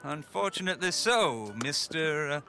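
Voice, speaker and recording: A man's voice: fairly high pitch, lively intonation, moderate pace, pushed and bright, soft background music. An actor playing a polite, nervous male activist who hesitates and stumbles over his words. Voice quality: hesitant, genteel.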